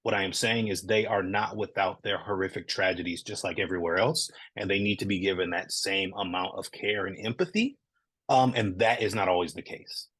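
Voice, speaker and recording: A man's voice: sits low, fairly quick, a bit bright, breathy edge. A man from Southern California, a comic creator speaking earnestly in a casual podcast interview, often checking in with "right?".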